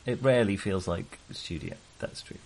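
A man's voice: deep voice, moderate pace, darkish tone, slight background noise, low-quality recording. A man co-hosting a casual film discussion, thinking aloud and often interrupting himself. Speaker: podcast co-host discussing films.

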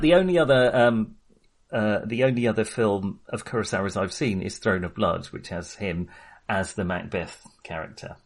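A man talking casually as a podcast host discussing films, enthusiastic but hesitant and rambling as he goes. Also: fairly low pitch.

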